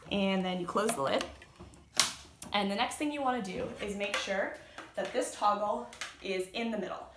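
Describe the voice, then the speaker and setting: A woman's voice: mid-range voice, moderate pace. A woman giving an informal, step-by-step how-to demonstration in a plain-spoken, instructive way. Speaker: instructor, activist. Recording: roomy echo, distant mic, background noise.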